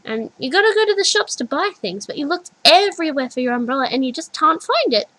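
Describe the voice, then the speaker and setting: A woman voicing a small toddler girl who is earnest and gentle, speaking in simple childlike words. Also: sits high, very animated, fast, voice pushed up, exaggerated voice, poor audio. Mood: explaining.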